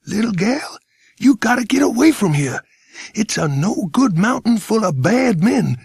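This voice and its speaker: A man acts out a frightened American in hiding for a storybook. The voice is hoarse and whispery, pushed up from a normal register. The delivery is urgent and folksy, swinging widely as he warns children away. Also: sits high, sing-song, a little slow, no echo, clean studio sound, pitched above the performer's own voice, heavily acted. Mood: urgent warning.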